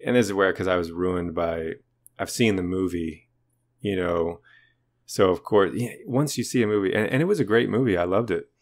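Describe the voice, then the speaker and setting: Deep-voiced man in casual chat. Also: moderate pace. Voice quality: naturally deep voice. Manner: rambling and self-deprecating, with many restarts. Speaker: podcast co-host.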